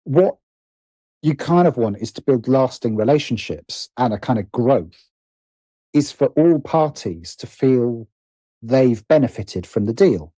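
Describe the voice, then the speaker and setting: A man's voice: mid-range voice, expressive, unhurried, mellow, no echo, poor audio. A middle-aged British man speaking reflectively as an interview guest, often stopping to rephrase himself. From Britain. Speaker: guest, writer and producer.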